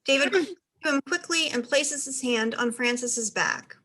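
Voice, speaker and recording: A woman's voice: high-pitched, normal speed, pushed and bright, rough recording. A woman reading stage directions as scripted narration for a play reading.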